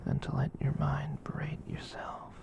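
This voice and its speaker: A performer voicing a gentle giant catboy in a whispered ASMR roleplay, soothing and rambling, with hesitant pauses. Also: medium pitch, completely flat delivery, lo-fi sound. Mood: gentle, reassuring. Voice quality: breathy whisper. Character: gentle giant catboy, soothing, reassuring, shyly self-conscious.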